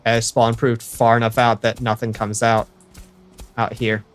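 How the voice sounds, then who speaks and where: A man's voice: mid-range voice, flat delivery, a little slow, smooth, faint music behind. A young American man chatting casually to viewers while he livestreams a building game, rambling and correcting himself as he goes. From United States. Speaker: streamer.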